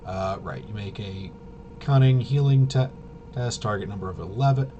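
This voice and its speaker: A man casually explaining game rules unscripted, halting and rambling as he thinks aloud. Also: lo-fi sound. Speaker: tabletop RPG reviewer and explainer. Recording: clearly noisy with low rumble.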